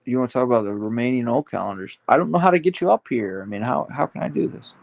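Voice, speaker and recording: A man hosting a casual livestream chat, rambling and halting with many fillers. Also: mid-range voice, creaky. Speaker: livestream host.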